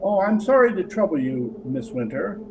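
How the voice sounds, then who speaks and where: A man's voice: on the high side, very animated, moderate pace, noisy background. A man playing a plain-spoken police detective sergeant in an old-time radio drama, with a hesitant, folksy, polite manner. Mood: polite, probing.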